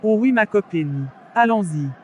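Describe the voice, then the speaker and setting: A performer playing a worldly but soft-hearted young woman who loves parties, in a scripted animated drama. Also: mid-range voice, measured pace, highs cut off, slight background noise, lightly acted. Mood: hesitant. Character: worldly young female party-goer, wavering, soft-hearted.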